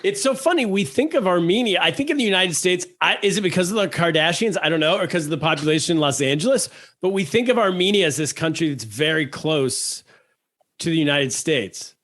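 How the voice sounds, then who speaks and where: A man's voice: fairly high pitch, animated, a little fast, fairly bright tone. A man hosting a comedy podcast, asking curious questions in a casual interview. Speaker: podcast host.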